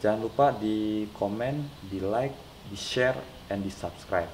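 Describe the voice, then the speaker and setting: A young man talks his way through an unboxing, his deep, nasal voice stuffy from a cold. He rambles unhurriedly with lots of fillers. The room is echoey and there is clear background noise behind him. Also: slow, darkish tone. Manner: rambling, hesitant with many fillers. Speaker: unboxing vlogger. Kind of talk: casual unboxing chat.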